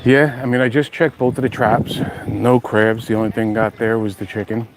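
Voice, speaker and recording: A chatty man with a New York accent talks straight to his viewers on a live stream. He jokes easily in a relaxed, unscripted way. He is recorded outdoors on a phone with faint noise behind him. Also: fairly low pitch, normal speed, low-quality recording. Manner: chatty, joking, addresses viewers directly. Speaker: outdoor channel host. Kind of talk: casual live-stream chat. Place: outdoors at a beach house.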